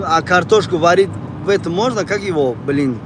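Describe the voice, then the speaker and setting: A man's voice: high voice, animated, normal speed. A man from Tajikistan, chatting casually and ramblingly with viewers on a livestream. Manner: rambling, conversational, repeats phrases. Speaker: live streamer. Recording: noisy outdoor or car street noise.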